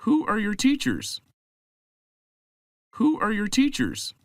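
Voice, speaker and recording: A man playing a helpful, friendly classmate in a slow scripted dialogue for English learners, saying each line twice. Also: high voice, wildly swooping pitch, no echo, studio quality, full character voice.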